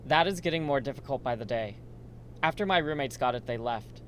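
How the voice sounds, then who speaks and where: Man playing anxious male lab assistant, medium-pitched, earnest, radio play, slight rumbly noise. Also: expressive, a little fast, close to an everyday voice. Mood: worried, earnest. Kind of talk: amateur radio drama dialogue.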